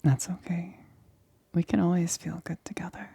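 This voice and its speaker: A woman voicing an infected female lab assistant in an ASMR roleplay, eerily blissful and coaxing, in a breathy, intimate whisper. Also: fairly low pitch, steady tone, moderate pace, dark tone, no echo, exaggerated voice. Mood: seductive, menacing.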